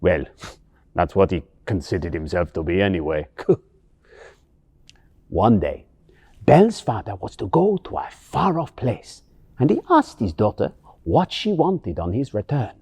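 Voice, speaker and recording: Animated male storyteller, mellow and husky. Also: lowish voice, a little slow, pauses often, no echo. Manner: theatrical, expressive. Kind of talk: scripted bedtime story narration, pantomime flavour.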